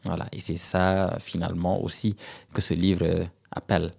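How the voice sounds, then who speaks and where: A man in his thirties from Senegal, whose first language is Serer, answering interview questions in a measured, hesitant way with frequent fillers and restarts. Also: sits low, level tone, gravelly, a little mellow. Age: 30s. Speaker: guest author.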